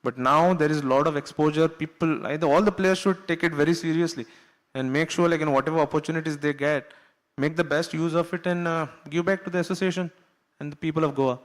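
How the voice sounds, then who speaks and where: Earnest Indian cricketer on a panel. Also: male voice, on the high side, fairly even intonation, fast talker. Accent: Indian English. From Goa, India. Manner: earnest, uses filler "you know", laughs while telling stories. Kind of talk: panel discussion anecdote. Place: conference hall.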